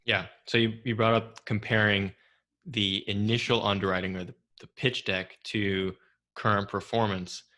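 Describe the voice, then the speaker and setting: A man hosting a conversational business interview, phrasing his points and questions in a measured way with pauses. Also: on the low side, flat delivery, bright, forceful tone. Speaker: interviewer, podcast host.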